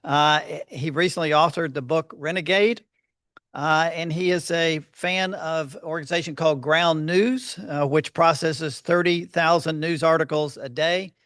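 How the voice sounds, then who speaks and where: A soft-spoken man giving a measured, formal introduction at a luncheon podium, with frequent fillers. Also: a little high, fairly even intonation, no room sound, clean studio sound. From Fort Worth, Texas. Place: luncheon hall.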